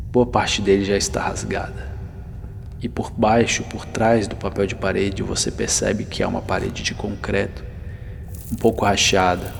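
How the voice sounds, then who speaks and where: A man narrating a guided ASMR journey slowly and softly, with pauses and near-whispered moments. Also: deep voice, gravelly, slight background noise. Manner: hushed, unhurried, with soft pauses, sometimes near whisper. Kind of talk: slow immersive ASMR guided narration, second person. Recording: long reverb over the voice. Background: music.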